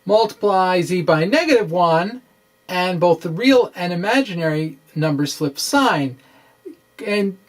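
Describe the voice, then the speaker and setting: A middle-aged man presenting an informal science explainer, with halting, emphatic delivery and wry asides. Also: high voice. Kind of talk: informal dramatic reading of a physics explainer. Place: small indoor room with bookshelves. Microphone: microphone on stand close in front.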